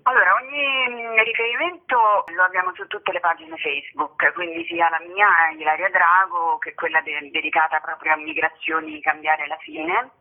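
A woman giving earnest, halting interview answers about artistic work over a tinny telephone line, searching for words. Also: lowish voice, fairly even intonation. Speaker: interview guest, theatre artist and author.

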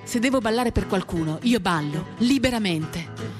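A woman performing an expressive, theatrical first-person literary reading for radio, moving between animated and hushed delivery. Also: mid-range voice, normal speed. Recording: acoustic guitar accompaniment. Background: guitar music.

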